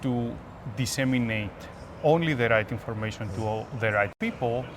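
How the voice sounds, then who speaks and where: Greek man, clear background noise. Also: medium pitch, normal speed. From Greece. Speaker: guest, application security expert. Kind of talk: conversational interview answers. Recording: noisy urban ambience behind voice.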